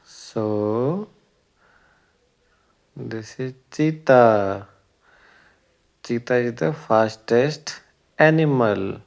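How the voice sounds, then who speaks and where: A man's voice: medium pitch, very slow, gravelly, long pauses, a little mellow. A man presenting a children's lesson in short, simple phrases, often prompting listeners with questions. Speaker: presenter of a children's learning video. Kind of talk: simple educational narration for young children, asking questions.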